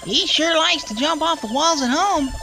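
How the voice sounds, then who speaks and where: A man voicing a kind, easily flustered male rabbit gardener, gentle and fretful, with music behind. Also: very high-pitched, moderate pace, creaky, noisy background, voice pushed up.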